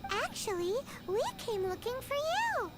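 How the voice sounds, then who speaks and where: A woman voicing a tiny, chirpy, excitable childlike fairy in a video game, exclamatory and inquisitive. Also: very high voice, measured pace, full character voice. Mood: matter-of-fact. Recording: loud game music behind.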